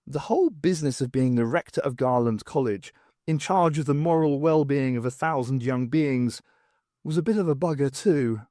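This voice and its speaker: Man, audiobook narrator, expressive pitch movement, scripted storytelling, dry room-free sound. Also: dry recording.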